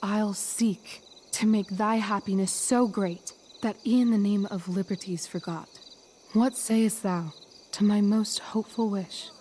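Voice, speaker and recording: A woman's voice: mid-range voice, steady tone, a little mellow, slight background noise, lightly acted. A woman voicing a teenage girl in a video game who recites Shakespeare on stage as an imperious sorceress. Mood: earnest, pleading. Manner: imperious, deliberate archaic delivery. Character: teenage girl playing a commanding sorceress in a school play.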